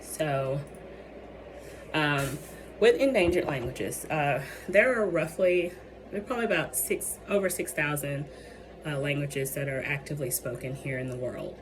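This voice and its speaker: A young woman gives a student presentation in a low, deep-set voice that is going hoarse. She hesitates often, filling pauses with ums and restarting her sentences. A steady hiss of background noise sits under the recording. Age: young adult. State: losing her voice. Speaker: student presenting a capstone project. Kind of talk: presentation with many fillers. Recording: noisy background ambience.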